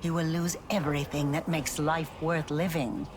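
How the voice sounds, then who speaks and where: An actress playing a solemn, cryptic scholar of forbidden magic in a video game, explaining things deliberately. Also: female voice, low-pitched, level tone, noisy background, natural voice. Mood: stern.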